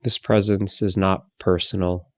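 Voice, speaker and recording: Slow, low-voiced man guiding meditation. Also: level tone, no room sound. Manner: unhurried, gentle, long pauses between phrases. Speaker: meditation guide. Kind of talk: guided meditation, soothing instruction.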